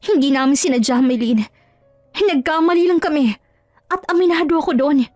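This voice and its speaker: A woman's voice: sits high, moderate pace, a little mellow, dry recording, clean studio sound, soft background music, voice pushed up, natural voice. A woman voicing a husband's mistress in an audio drama, timid, apologetic and fretful. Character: husband's mistress, young mother, timid, guilty, tearful.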